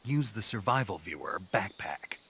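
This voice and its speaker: A laconic soldier hero from a video game, gruff and dry. His voice is very low with a hard, forceful edge, and he speaks in terse lines. Faint insect ambience runs behind. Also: animated, moderate pace, slight background noise. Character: gruff, laconic male special forces operative in his prime. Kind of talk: scripted video game voice acting.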